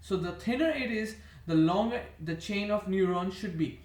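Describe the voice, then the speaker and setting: High-voiced Indian man rambling in an echoey room. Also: normal speed, recorded from a distance. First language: Indian languages. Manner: rambling, meandering, restarts and corrects himself often. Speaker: vlogger. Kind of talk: rambling monologue to camera.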